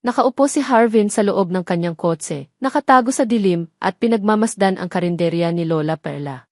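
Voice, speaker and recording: A woman narrates a dramatic scripted story. Her voice sits at a middle pitch for a woman. The recording is close and dry, with no room sound. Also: normal speed, no echo.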